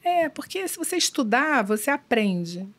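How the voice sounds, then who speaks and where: Measured middle-aged woman executive. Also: sits high, very animated. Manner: measured, thoughtful, many filler pauses. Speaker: business executive guest. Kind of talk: conversational podcast interview.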